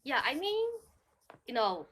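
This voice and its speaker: Chinese-accented woman, bright, clear tone, hesitant and agreeable learner. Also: high-pitched, very animated, measured pace, a little hiss or hum. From China. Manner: hesitant, agreeable, many fillers. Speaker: guest, English learner. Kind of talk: casual conversation.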